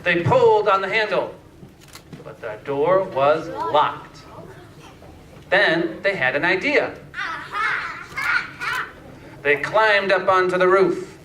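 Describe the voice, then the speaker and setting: Echoey, distant, slow storytelling man. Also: high-pitched. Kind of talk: scripted fairytale narration. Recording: echoey room, distant mic, faint noise.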